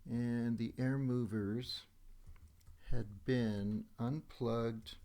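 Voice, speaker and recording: Methodical man narrating a software tutorial. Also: mid-range voice, steady tone, very slow. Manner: methodical, announcing each step as he goes. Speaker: software demonstrator. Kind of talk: step-by-step screen tutorial narration. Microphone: voice-over on a screen recording.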